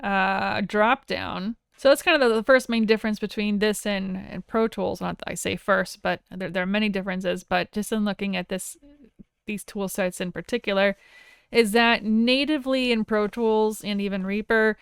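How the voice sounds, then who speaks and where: A woman teaching audio software in a casual, conversational screen-share tutorial, informal and enthusiastic about her tools. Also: mid-range voice, steady tone, moderate pace, vocal fry, smooth, no echo, studio quality.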